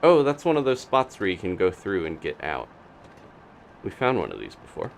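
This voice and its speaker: A man's voice: sits low, huge pitch swings, moderate pace, creaky, a little hiss or hum. A man doing casual live commentary as he plays a video game, thinking aloud with playful reactions. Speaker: gaming live streamer.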